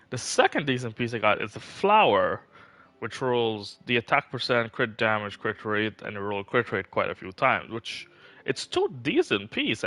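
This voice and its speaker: Bright-voiced man, dry close mic. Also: medium pitch, dry recording. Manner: rambling, informal. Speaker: game livestreamer. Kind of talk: casual livestream chat about game builds.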